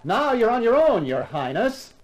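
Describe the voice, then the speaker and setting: Wisecracking radio private eye, lively pitch. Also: male voice, moderate pace, heavily acted, rough recording. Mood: taunting. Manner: wry, wisecracking. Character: wisecracking tough private eye, brave and flirtatious. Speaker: lead actor and narrator. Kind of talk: 1950s radio crime drama acting. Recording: 1950s radio drama with faint music.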